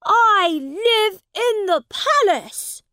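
A woman's voice: very high voice, very animated, drawn-out words, pushed and bright, dry recording, studio quality, voice pushed up, heavily acted. A woman voicing an indignant young boy prince who insists on his rank, in a storybook reading. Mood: distressed, indignant. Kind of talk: storybook character voice.

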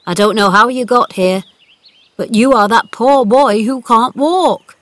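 A woman voicing an old male gardener in a storybook, gruff but tender-hearted, with emphatic, emotional delivery. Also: a little high, a little slow, fairly bright tone, no room sound. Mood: stunned, shaky.

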